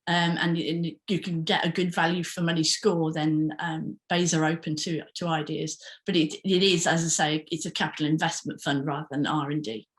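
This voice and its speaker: A woman with a somewhat low voice answers questions at an online workshop. She speaks carefully, with frequent ums, and she often rephrases as she goes. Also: flat delivery, normal speed, few pauses. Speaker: invited speaker.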